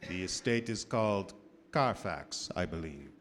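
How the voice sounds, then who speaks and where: An actor playing a sinister, aristocratic male vampire in a gothic radio drama, with deliberate, menacingly polite delivery. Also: low-pitched, animated, a bit bright, slight background noise. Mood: sinister, courteous.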